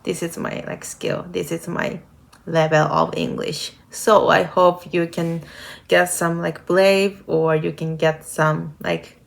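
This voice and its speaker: Japanese-accented woman with a gravelly voice. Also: on the low side, flat delivery, slow. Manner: talkative, uses many "like" fillers. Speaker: vlogger and nursing student. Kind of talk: casual chat to camera. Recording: guitar music playing behind.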